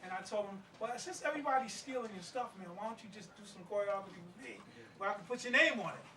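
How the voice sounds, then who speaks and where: A man's voice: high voice, fast, bright, forceful tone, slight background noise. A middle-aged man speaking informally and emphatically, in a plain-spoken, street-wise way. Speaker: playwright and martial arts master. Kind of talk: informal spoken interview and presentation. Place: indoor gathering at a play reading. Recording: roomy, distant mic, people in background.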